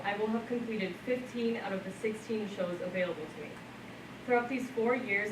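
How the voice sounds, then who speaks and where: Composed teen girl speaking in echoey hall. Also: mid-range voice. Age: late teens. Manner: composed, articulate, reads from prepared remarks. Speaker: student speaker. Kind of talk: prepared speech at a school event. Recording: echoey room, voice from far off, noisy.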